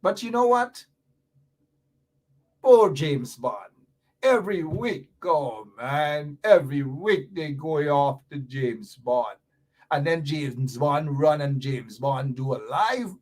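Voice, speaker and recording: A man's voice: a little high, lively intonation, slow, a little mellow. A Guyanese man hosting a radio talk show, delivering fiery, sarcastic political commentary with mocking repetition.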